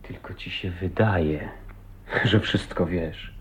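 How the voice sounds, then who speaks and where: Low, husky, tender husband. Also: male voice, slow pace, a bit bright, full character voice. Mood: tender reproach. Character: tender, earnest husband, a lonely millionaire's son. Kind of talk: radio drama acting. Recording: dull, muffled old radio recording.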